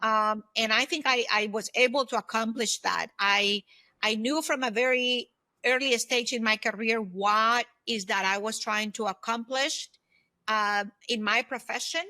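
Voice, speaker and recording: A woman's voice: fairly high pitch, steady tone, measured pace, pushed and bright, no echo. A woman in her fifties giving reflective, conversational answers as an interview guest. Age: 50s. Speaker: podcast guest, former executive turned solopreneur.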